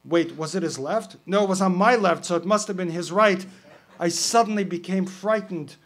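A man voicing a verbose, brooding male pirate who declaims long winding sentences loudly. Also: high-pitched, moderate pace, pitched above the performer's own voice.

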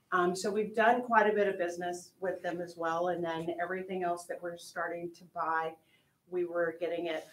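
A woman tells a story in a chatty, informal way, full of fillers. Her voice sits a bit low. She is picked up by a camera across a large, echoey meeting room. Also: a bit bright. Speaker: school staff member presenting to the board.

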